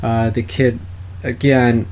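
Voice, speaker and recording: A man speaking as a podcast host in an opinionated political discussion, with halting, wordy, formal phrasing. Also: mid-range voice, little pitch movement, darkish tone. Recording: clearly noisy background.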